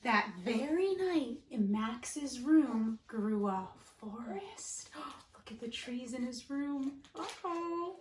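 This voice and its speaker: A woman teacher giving an expressive picture-book read-aloud for children, dramatic, with gasps, whispers and emphasis. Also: sits high, takes it slowly, echoey room, a little hiss or hum. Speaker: elementary school teacher.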